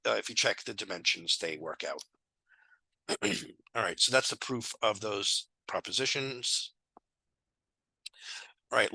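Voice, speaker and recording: Hoarse, sick man in his 30s, gravelly, very bright tone, casual math tutor on a headset. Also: medium pitch, dry recording, low-quality recording. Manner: casual, thinking aloud with fillers. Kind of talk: casual lecture walkthrough. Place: small room indoors.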